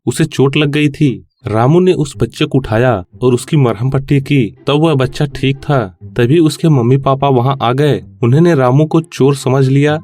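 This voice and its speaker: A man's voice: mid-range voice, unhurried, hardly any pauses, darkish tone, dry recording. A man narrating a scripted moral story for children.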